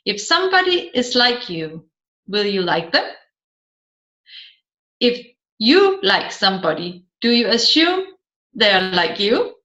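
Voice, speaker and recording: Slow-paced woman narrator, roomy sound. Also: medium pitch, very animated, sharp tone. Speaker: audiobook narrator. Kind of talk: scripted audiobook narration of a seminar transcript. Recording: slightly dull, roomy echoing sound.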